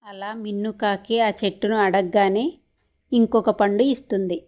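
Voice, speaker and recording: A woman's voice: mid-range voice, steady tone, a little slow, a little mellow. A woman narrator reading a scripted children's story.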